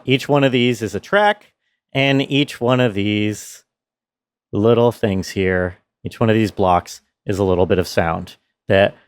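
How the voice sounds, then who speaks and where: A man explaining his sound design work in a measured behind-the-scenes walkthrough. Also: on the low side, smooth, no room sound.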